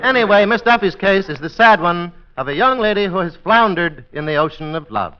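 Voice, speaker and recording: Pompous, animated comic judge. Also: male voice, high voice, a little fast. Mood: mock formal. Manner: pompous, hesitant, formal wordy phrasing. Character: pompous, bumbling male judge of a comic court. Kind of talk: 1940s radio comedy acting. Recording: muffled old radio recording.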